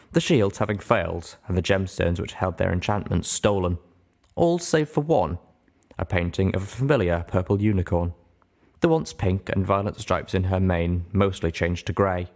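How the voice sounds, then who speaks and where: A man's voice: low-pitched, normal speed. A man reading a scripted first-person adventure story as an audiobook narrator.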